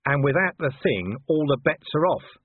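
A man playing a shrewd, sardonic city commander in an audiobook, smooth and needling in manner. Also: a little high, lively intonation, moderate pace, no room sound, narrow, tinny audio, voice pushed up. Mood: sly. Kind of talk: audiobook character voice.

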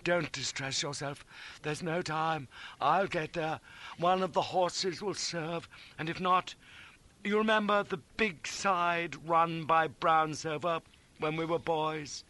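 Man playing an earnest, high-voiced officer. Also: fairly even intonation, slow pace, pushed and bright. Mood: fervent, noble. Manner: breathless, fervent, pious. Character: earnest, noble young British officer, dutiful and sentimental.

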